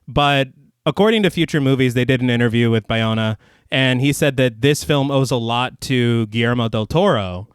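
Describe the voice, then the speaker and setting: A man co-hosting a horror podcast in casual film talk, getting over the flu, relaxed and often swearing and laughing. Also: medium pitch, normal speed, smooth, dry recording, studio quality. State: recovering from flu. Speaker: podcast co-host.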